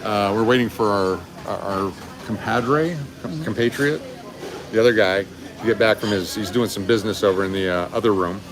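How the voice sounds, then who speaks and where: A man in his fifties chatting casually and rambling a little as he hosts a live stream, recorded on a phone indoors. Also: moderate pace. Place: busy restaurant, indoors. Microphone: phone held at arm's length. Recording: restaurant chatter and rumble behind voice.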